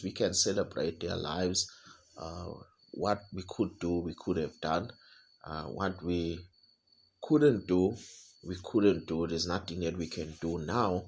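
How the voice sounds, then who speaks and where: A South African man hosting a podcast, giving a reflective weekly review monologue with a halting delivery full of repeated words and fillers. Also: low-pitched, breathy edge. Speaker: podcast host.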